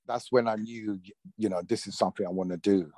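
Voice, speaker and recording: Low-voiced london guy in his 40s, laid back and rambly, dry sound. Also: steady tone, fast, dark tone, no echo. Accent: London English. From London, England. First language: Thai. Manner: easygoing, rambling, full of "you know". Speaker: actor being interviewed. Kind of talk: casual interview talk.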